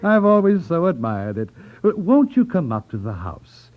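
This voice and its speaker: Suave elderly racketeer, vintage radio drama: exaggerated politeness over menace, very lively pitch, phone-like old-broadcast sound. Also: male voice, normal speed. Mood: amused, gracious. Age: older. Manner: over-polite, fussy, hesitant ums, condescending. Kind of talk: 1950s radio drama acting. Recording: tinny, narrow-band vintage radio recording.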